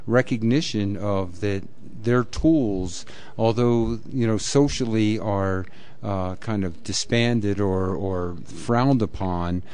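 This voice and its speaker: A man hosts a live radio interview, speaking loosely and rambling through his points with many fillers. His voice sits somewhat low. It has a mellow, dark tone. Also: clean tone. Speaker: radio host.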